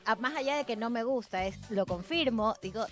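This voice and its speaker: A woman's voice: fairly high pitch, brisk. A woman hosting a casual, chatty conversation about everyday things. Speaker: podcast host. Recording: music playing behind the voice.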